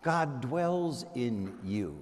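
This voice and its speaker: A man's voice: mid-range voice, expressive, drawn-out words. A male priest giving a sermon with emphatic, repeated phrases, recorded in a large reverberant church. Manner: emphatic, rhetorical repetition. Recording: echoing church hall, long reverb.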